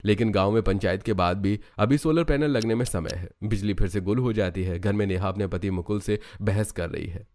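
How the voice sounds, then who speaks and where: A man's voice: fairly low pitch, a little fast, few pauses, a little mellow, a little hiss or hum. A man reading scripted narration for a cartoon story.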